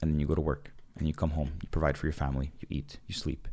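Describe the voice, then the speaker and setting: Young man, very deep voice, rapid talk, casual chat guest, lots of fillers and restarts. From Canada. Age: young adult. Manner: animated, many filler words and restarts. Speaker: podcast guest. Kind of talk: casual conversation.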